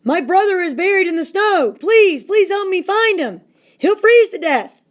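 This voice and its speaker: A desperate young male railroad worker from a storybook, acted out by a woman. The voice is high and loud, pleading frantically as he searches for his brother. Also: expressive, normal speed. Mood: frantic, pleading.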